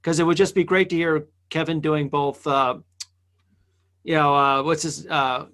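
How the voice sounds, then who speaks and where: A man with a voice a little higher than average hosts a casual online chat. He talks in a conversational, halting way, as among friends. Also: little pitch movement, a bit bright. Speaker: podcast host.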